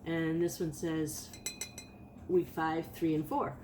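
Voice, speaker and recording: A woman's voice: low voice, flat delivery, slow, dark tone, slight background noise. A woman in her late fifties telling her own story in a chatty, rambling vlog, often restarting her sentences. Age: 50s. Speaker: vlogger. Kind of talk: casual personal testimonial.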